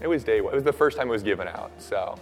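A young man with a slightly low voice, hoarse and raspy after days of shouting, leads a sermon and prayer. He talks in an earnest, casual way, full of "uh" and "um". His words ring out with the long echo of a church hall. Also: animated, rapid-fire, soft background music. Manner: casual, filler-heavy, earnest. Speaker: preacher.

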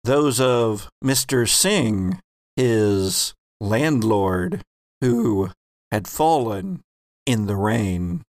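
A man narrating as game master of a tabletop roleplaying game, slow and halting, with many fillers. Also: fairly low pitch, lively intonation, dry recording, studio quality.

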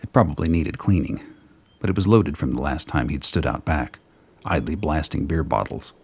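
A man reading scripted narration for a radio play in a deep, gravelly voice. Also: moderate pace.

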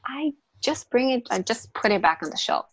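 A woman's voice: fairly high pitch, very animated, normal speed, slightly rough, no echo. Emphatic non-native English-speaking woman coach. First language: not English. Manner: emphatic, repeats words, many restarts and fillers. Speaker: natural health coach, podcast guest. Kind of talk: casual interview explanation.

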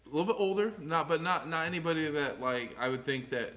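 A man's voice: fairly high pitch, lively intonation, fast, a bit bright. A young man co-hosting a podcast in casual chat, rambling a little, swearing often and laughing easily. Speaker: podcast co-host.

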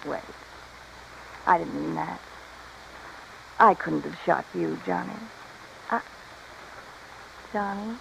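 An actress playing a cold, bitter femme fatale in a 1950s radio drama, dramatic and taunting. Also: female voice, medium pitch, creaky. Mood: desperate, furious. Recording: muffled old radio recording, faint noise.

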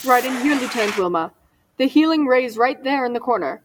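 A woman's voice: fairly high pitch, lively intonation, a little fast, natural voice. A woman playing an eager, obliging young male spaceport foreman in an old-time radio drama. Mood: helpful. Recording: distant mic, faint noise and clicks.

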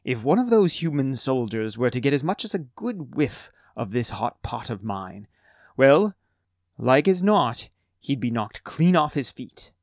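A man's voice: medium pitch, very animated, a little slow, dry recording. A proud, fussy halfling chief cook, folksy and boastful, as a man puts him on. He brags about his stew and bursts into theatrical wails. The sound is dry and close. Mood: proud, knowing.

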